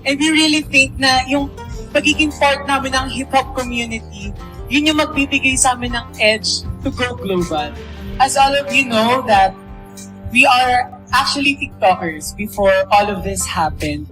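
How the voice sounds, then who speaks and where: A man's voice: very high voice, normal speed, pushed and bright, soft background music, poor audio. A man answering at a press conference earnestly, switching languages mid-sentence. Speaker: group member at a press conference.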